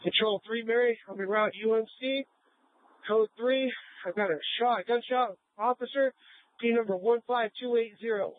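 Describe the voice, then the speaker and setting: A man's voice: extremely high pitch, normal speed, dry recording. A male police officer reporting in emergency traffic over a police radio. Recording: phone-like police scanner radio.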